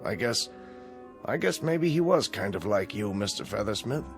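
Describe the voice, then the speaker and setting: An actor playing a deferential small-town night custodian in an audio drama, speaking politely and hesitantly. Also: male voice, lowish voice, animated, normal speed, music in the background. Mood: meek.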